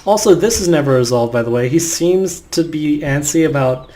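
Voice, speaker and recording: A man's voice: a little high, expressive, moderate pace, warm tone, slight background noise. A man giving casual, rambling, nitpicking let's play commentary. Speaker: gameplay commentator. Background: game music.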